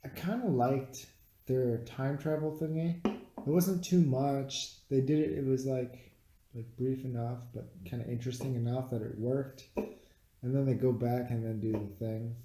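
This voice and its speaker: A man's voice: mid-range voice, fairly even intonation, measured pace, dark tone, echoey room, low-quality recording. A man chatting casually and bluntly as co-host of an unscripted movie review, with some swearing.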